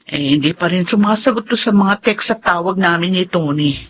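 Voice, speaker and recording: A woman's voice: fairly low pitch, moderate pace, slightly rough. A woman playing a resolute, protective Filipina wife and mother in a radio drama, earnest and emphatic. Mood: concerned.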